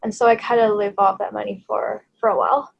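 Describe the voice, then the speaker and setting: Thai woman chatting with soft laughs. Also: mid-range voice, fairly even intonation, fast, gravelly, lo-fi sound. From Thailand. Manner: hesitant, many fillers and soft laughs. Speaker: guest. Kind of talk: casual interview chat.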